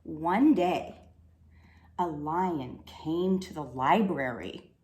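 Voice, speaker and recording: A woman reading a children's storybook aloud with expressive, dramatic delivery. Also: low voice, extremely slow. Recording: roomy, echoing room sound.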